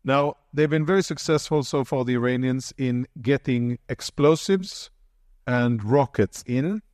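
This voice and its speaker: Man, panel speaker, measured and halting, dry mic. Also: mid-range voice, darkish tone, no echo. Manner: measured, restarts and repeats words. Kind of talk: answering audience questions.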